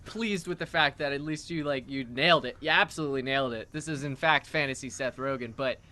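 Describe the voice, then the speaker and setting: A woman's voice: very deep voice, fairly quick, sharp tone. A woman hosting casual improvised comedy banter with wry, easygoing reactions. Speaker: comedy show host.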